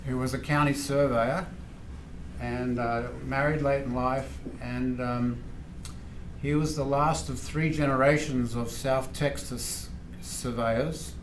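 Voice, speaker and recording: A man's voice: mid-range voice, fairly even intonation, takes it slowly. A middle-aged man with an Australian accent giving an informal academic seminar talk as a visiting professor. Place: indoor university seminar room. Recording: echoey lecture room, clear background noise.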